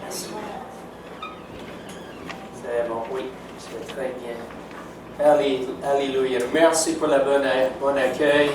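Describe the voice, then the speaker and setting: A man's voice: mid-range voice, steady tone, slow, long pauses, very noisy. An older man with an English Canadian accent, a native English speaker preaching a sermon in French, emphatic and often checking in with his listeners. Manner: warm, emphatic, keeps asking "n'est-ce pas?". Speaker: guest preacher. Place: church sanctuary. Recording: echoey church hall from far off.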